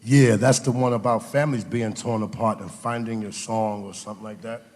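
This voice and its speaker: A man's voice: fairly low pitch, fairly even intonation, fairly quick, darkish tone, natural voice. A man playing a bloodthirsty, sardonic talking bullet in an audio drama. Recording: long echoing reverb.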